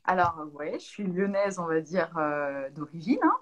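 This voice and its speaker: A French woman from Lyon in her thirties, a naturopath answering interview questions in a thoughtful way, with pauses and restarts. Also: fairly low pitch, lively intonation. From Lyon, France. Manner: thoughtful, many hesitations and restarts.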